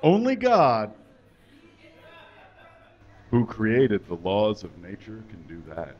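A man playing a pious, patronising, folksy puppet who lectures deliberately in a mock-sermon at a live comedy show. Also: lowish voice, wildly swooping pitch, dull audio, exaggerated voice. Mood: reassuring. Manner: preachy, deliberate, drawn-out phrasing. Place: small indoor stage. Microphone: handheld microphone.

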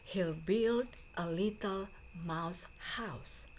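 A woman teacher reading a storybook aloud to students in a deliberate, phrase-by-phrase way. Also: fairly low pitch, a little hiss or hum. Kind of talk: slow storybook read-aloud for a class.

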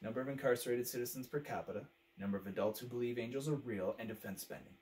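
A man acts out a brutally frank, fed-up male commentator in a dramatic monologue. His mid-pitched voice is biting and emphatic, lecturing in pointed rhetorical phrases. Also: fast talker, a little mellow, natural voice. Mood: cutting, matter-of-fact.

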